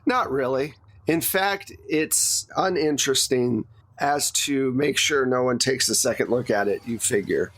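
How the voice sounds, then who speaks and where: A man's voice: mid-range voice, measured pace, fairly bright tone, dry recording. A man narrating a tabletop role-playing game as game master in a conversational, explanatory way.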